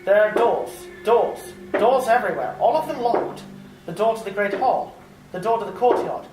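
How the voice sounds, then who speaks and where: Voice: mid-range voice, moderate pace, over music, close to an everyday voice. An actor playing an earnest, frightened English traveller held prisoner, telling the tale dramatically in an old-time radio drama. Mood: haunted, fearful. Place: live performance at a public library. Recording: roomy, distant, noisy live recording.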